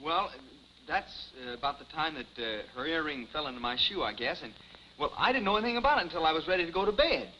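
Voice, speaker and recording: A meek, earnest young delivery man, flustered and hesitant. He stammers in a boyish, highish voice that runs quickly, on a muffled 1950s television soundtrack. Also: big pitch swings, sharp tone, a little hiss or hum. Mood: flustered, pleading. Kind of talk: 1950s television comedy acting.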